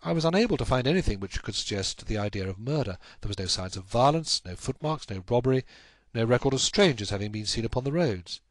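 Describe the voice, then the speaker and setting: A man voicing an anxious, helpless young gentleman in a storybook, recounting his troubles in an earnest, measured, formal way. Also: medium pitch, expressive, no echo, lightly acted. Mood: grave, uneasy. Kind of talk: audiobook character acting.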